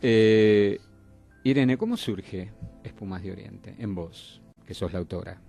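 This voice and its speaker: A man's voice: lowish voice, slow pace, vocal fry, warm tone, faint music behind. A man with an Argentine accent hosting a radio interview and addressing his guests attentively. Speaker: radio host.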